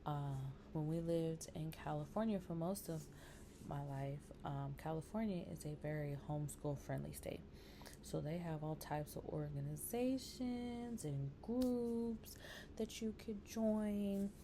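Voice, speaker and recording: A woman's voice: deep voice, fairly even intonation, measured pace, noisy background. A woman in her thirties talking casually to camera in a vlog, chatty and rambling with lots of fillers, encouraging her viewers. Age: 30s. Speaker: vlogger.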